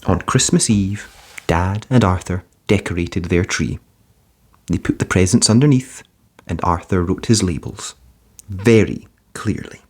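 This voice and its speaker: A man reading a children's picture book aloud in a gentle, expressive bedtime-story manner. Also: low voice, unhurried, breathy edge.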